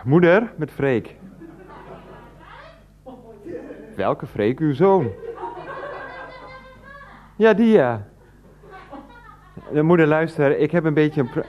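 Pleading, swooping grown son. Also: male voice, a little high. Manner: stammering, coaxing, often interrupted. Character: pleading, wheedling grown son phoning his mother. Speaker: radio drama actor. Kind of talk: 1990s Dutch radio play acting. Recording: dull, band-limited radio recording.

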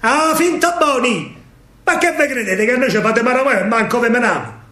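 A man's voice: very high voice, animated, normal speed, pushed and bright, reverberant, voice pushed up, exaggerated voice. A man voicing boastful, menacing German soldiers in Roman dialect, mocking and threatening, in a stage monologue. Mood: taunting, menacing.

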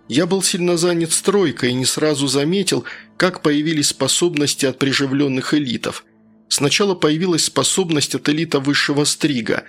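A man's voice: a little high, fairly bright tone. A man narrating a first-person story as a scripted audiobook reader. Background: faint background music.

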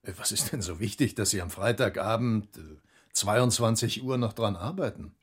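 A man's voice: lowish voice, moderate pace, husky. Formal male office superior. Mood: probing. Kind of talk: radio play acting.

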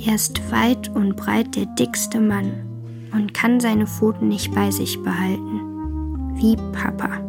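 A female actor playing a frank, wistful young girl reading a letter in a radio drama, halting and childlike. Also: medium pitch, fairly even intonation, gravelly. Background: plucked guitar music.

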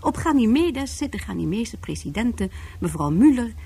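A woman's voice: fairly high pitch, big pitch swings, moderate pace, gravelly, dark tone, breathy edge. A woman narrating a science fiction radio drama from a script.

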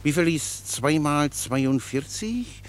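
Rambling, animated soldier. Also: male voice, on the high side, takes it slowly. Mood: chatty, unruffled. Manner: chatty, rambling, pedantically explanatory. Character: cheerful, long-winded, naively obedient common soldier. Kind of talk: German radio play acting.

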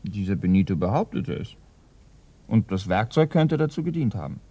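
Earnest, bookish police assistant, husky voice. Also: male voice, on the low side, very animated, normal speed, warm tone, no room sound, exaggerated voice. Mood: musing, earnest. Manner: precise, lecturing, educated. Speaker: actor in a radio crime drama. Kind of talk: German radio play acting.

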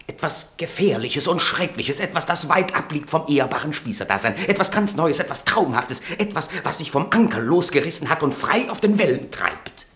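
A man's voice: a little high, lively intonation, moderate pace, few pauses, fairly bright tone. An actor playing a suave, proud agency director in a German radio drama, talking in florid, courteous salesman's phrases. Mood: enthusiastic pitch.

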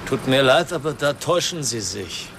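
An actor playing a weary, fatalistic fugitive in a radio crime drama, speaking politely with melancholy resignation. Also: male voice, medium pitch, animated, moderate pace, noisy background, lightly acted. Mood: resigned. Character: weary, fatalistic male friend on the run. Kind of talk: German radio play acting.

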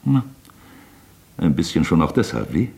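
Deep-voiced, sly, swooping interrogator. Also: male voice, big pitch swings, normal speed, gravelly, a little mellow, full character voice. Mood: mocking, probing. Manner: insinuating, teasing, falsely chummy, theatrical. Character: wily, talkative, mock-genial examining magistrate toying with suspect. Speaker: actor in a radio drama. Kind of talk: German radio drama acting.

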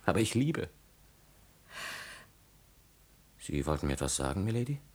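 Ardent young aristocrat, lowish pitch, husky grain, declamatory, defiant, classical radio drama. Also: male voice, big pitch swings, very fast, darkish tone, exaggerated voice. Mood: solemn, resolute. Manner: declamatory, impassioned. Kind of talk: classical German stage tragedy acting, radio play.

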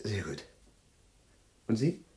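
A man playing an absent-minded, nervous chemistry professor in a radio play, formally polite and often halting as he gropes for words. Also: fairly low pitch, very animated, mellow. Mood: nervous, courteous. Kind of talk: German radio play acting.

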